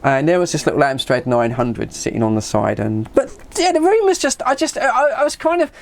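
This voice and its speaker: A man in his fifties with an English accent, telling a casual anecdote in a stammering, animated way as a vlog host. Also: on the high side, fairly quick, gravelly. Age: 50s. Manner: animated, stammering, acts out dialogue.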